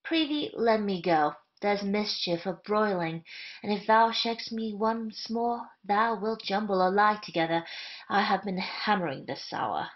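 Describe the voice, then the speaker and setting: A woman's voice: medium pitch, a little slow, natural voice. A woman playing a roguish, affected male traveller in a period comedy audio play, with flowery, mock-courtly speech. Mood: impatient, sly. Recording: dull, lo-fi audio.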